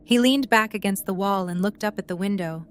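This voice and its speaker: A woman's voice: medium pitch, brisk, clear, resonant tone. A woman reading scripted narration as the narrator of an audio drama.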